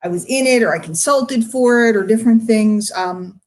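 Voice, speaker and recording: A woman's voice: a little high, normal speed, a little mellow. A middle-aged American woman giving a candid, off-the-cuff monologue to her followers, emphatic and confrontational, repeating phrases for stress. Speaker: online marketer speaking to followers.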